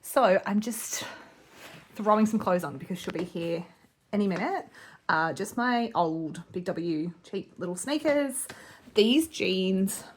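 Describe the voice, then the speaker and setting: A woman's voice: medium pitch, expressive, takes it slowly, slight background noise. Chatty woman vlogging. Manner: chatty, lots of "like" and little laughs. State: slightly croaky with a cold. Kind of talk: casual vlog chat.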